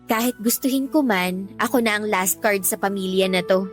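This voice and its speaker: An actress playing a dutiful, weary young Filipino daughter who reasons respectfully but firmly in a radio drama. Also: female voice, medium pitch, normal speed, close to an everyday voice. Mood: resigned. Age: young adult. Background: background music.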